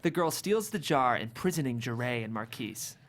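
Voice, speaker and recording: Narrator reading script directions at a comedy table read, really lively pitch, amused. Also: moderate pace, slight background noise.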